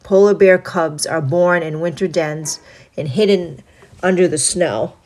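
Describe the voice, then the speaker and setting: A woman hosting a solo podcast, stuffed up from a cold, reading through a list in a steady, even way with small asides. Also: fairly low pitch, measured pace. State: congested from a cold. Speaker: podcast host.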